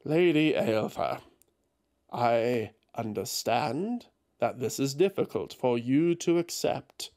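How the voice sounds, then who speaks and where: A steady male healer who speaks slowly and gently, each word carefully placed, voiced by a man narrating a story. There is a measured calm to him. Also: mid-range voice. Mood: gentle.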